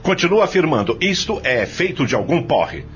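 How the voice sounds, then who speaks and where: A man's voice: a little high, big pitch swings, normal speed, fairly bright tone, soft background music. A man playing a cruel, arrogant farm boss in an audio soap opera, with a strident, threatening, contemptuous delivery. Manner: threatening, contemptuous, barking orders. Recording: dull lo-fi audio, some noise.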